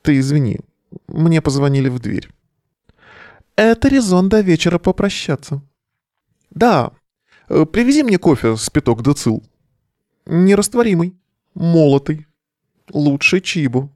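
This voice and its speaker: A man's voice: fairly high pitch, very animated, measured pace, long pauses, a little mellow, no room sound, studio quality. A male actor playing a weary, sardonic bohemian in an audio play, delivering a verse monologue over the phone in an ironic, declamatory way. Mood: playfully ironic.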